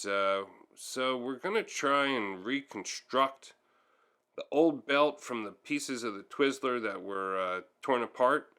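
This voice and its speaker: Bright, clear-voiced man narrating a repair. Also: fairly low pitch. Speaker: host of a repair tutorial. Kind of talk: hands-on how-to narration, casual.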